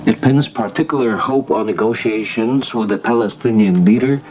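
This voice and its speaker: A man reading a formal, scripted international news bulletin as a radio newsreader, in a measured delivery. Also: on the low side. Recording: noisy, muffled shortwave radio broadcast recording.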